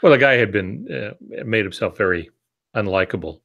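Male podcast co-host, 60s, somewhat low register, informal and wry, stop-and-start delivery from a home office. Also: animated, moderate pace, vocal fry, a bit bright, muffled recording. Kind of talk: casual live podcast chat. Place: indoor home office, on a video call. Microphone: earphone headset on a video call.

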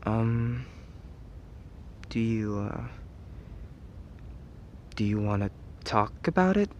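Casting: male actor as teenage tsundere best friend, unhurried, hesitant, teasing yet caring, ASMR roleplay with audible background rumble. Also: lively intonation, dull audio. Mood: gently concerned. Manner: hesitant, soft-hearted, teasing, feigns reluctance.

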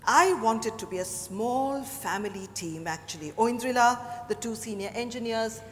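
An actress with an Indian accent playing a poised, disapproving businesswoman mother in a dramatized stage reading, speaking in a measured way with hesitant pauses. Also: on the high side, expressive, a bit bright, faint music behind. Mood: measured, critical. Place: on stage in a large hotel ballroom. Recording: echoey ballroom stage, noisy background.

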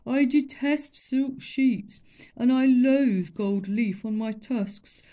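A woman's voice: fairly high pitch, slow, mellow, voice pushed up. A woman doing a mournful, grandly self-pitying elephant.

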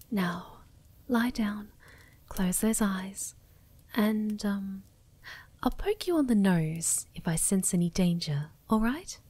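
A young woman playing a sweet, ditzy, shy moth girl in an ASMR roleplay, speaking softly and breathily with gentle stammers, often near a whisper. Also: mid-range voice, slightly rough, dry recording. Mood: gentle, reassuring. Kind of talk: soft-spoken ASMR roleplay.

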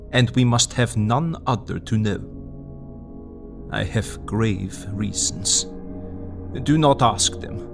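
An older doctor in an audio drama. His voice has a creaky, gravelly edge, and he gives earnest orders with kindly gravity. Music plays behind him. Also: fairly low pitch. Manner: earnest, imperative, formal odd phrasing. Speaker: actor.

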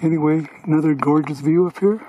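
A man filming a hike and calmly pointing out the views and wildlife from behind the camera on a mountain road. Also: fairly high pitch, a little fast, dull audio, a little hiss or hum. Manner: plain, matter-of-fact pointing out of sights. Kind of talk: casual narration behind the camera. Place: outdoors on a mountain forest road. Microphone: camera mic, speaking from behind it.

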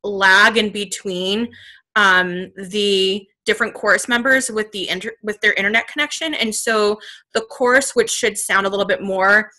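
A woman's voice: medium pitch, moderate pace, bright, forceful tone. A woman drama teacher asking a question conversationally on a video call.

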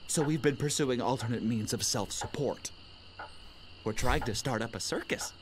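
An actor playing an earnest, defensive, slightly dim small-time pirate in a video game. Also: male voice, mid-range voice, normal speed, noisy background, natural voice.

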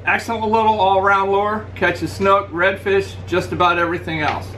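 A man's voice: high voice, moderate pace, slight background noise, rough recording. A middle-aged man in his living room, talking casually to a webcam as he holds up and reviews his favorite fishing lures. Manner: relaxed, practical, shows lures to camera. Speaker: fishing channel host. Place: indoors, living room at home.

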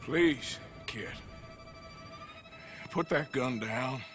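An actor playing a gruff, protective American outlaw in a Western video game, with a folksy, plain way of talking. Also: male voice, very high-pitched, sing-song, a little slow, a bit bright, soft background music, exaggerated voice. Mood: calming, gentle. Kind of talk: dramatic video game acting.

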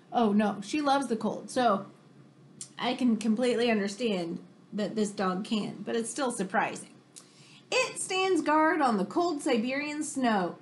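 An American woman in her thirties reading a picture book aloud like a teacher to children, expressive and exclamatory, with chatty questioning asides. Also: sits high, a little hiss or hum. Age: 30s. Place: room in a home, indoors.

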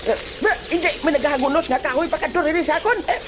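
A woman's voice: sits high, quick pace, noisy background, lightly acted. A woman acting a frantic old man in a comic audio drama, exclaiming and talking through each action aloud. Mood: straining, scheming.

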